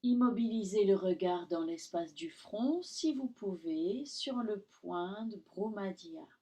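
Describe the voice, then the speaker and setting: A woman yoga teacher guiding a relaxation session in calm, instructional short phrases with long pauses. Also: mid-range voice. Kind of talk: guided Yoga Nidra relaxation.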